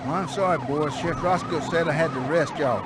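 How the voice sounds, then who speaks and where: An actor playing an earnest, apologetic, naive young deputy with a Southern American accent in a video game. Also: male voice, fairly high pitch, fast talker, natural voice. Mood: sheepish, apologetic. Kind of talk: scripted video game acting. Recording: loud siren and traffic noise.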